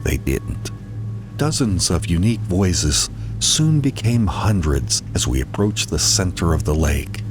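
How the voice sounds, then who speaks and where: A man's voice: sits low, very animated, measured pace. A man narrating a dramatized first-person horror story, with music behind him.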